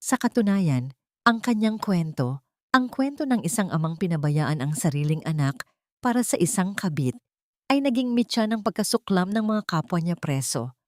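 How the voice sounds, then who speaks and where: A woman narrator reading a scripted dramatic love story in a solemn, deliberate manner. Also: fairly low pitch, expressive, dry recording, clean studio sound.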